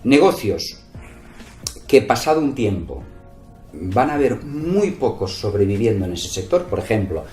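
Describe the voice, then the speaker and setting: Man, low mellow voice, didactic interview talk, roomy echo, faint music behind. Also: sing-song, unhurried. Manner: didactic, with rhetorical tag questions and examples. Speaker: entrepreneur and investor being interviewed. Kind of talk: conversational interview, explaining business advice.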